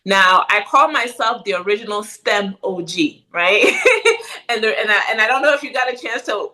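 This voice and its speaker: Middle-aged woman from Ghana, podcast guest, animated and conversational, with a bright tone. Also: medium pitch, normal speed, rough recording. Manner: animated, frequent "right?" tag questions. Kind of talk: casual interview chat.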